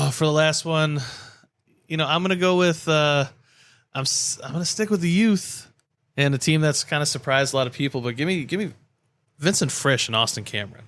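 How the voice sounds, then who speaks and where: A man's voice: a little high, normal speed, a bit bright. A man co-hosting a sports podcast, bantering casually with wry, slangy remarks. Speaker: podcast co-host.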